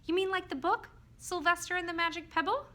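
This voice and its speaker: A woman's voice: extremely high pitch, a little fast, sharp tone, voice pushed up. A woman playing a curious, caring and somewhat bossy young girl in a children's story, direct and coaxing. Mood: surprised, curious.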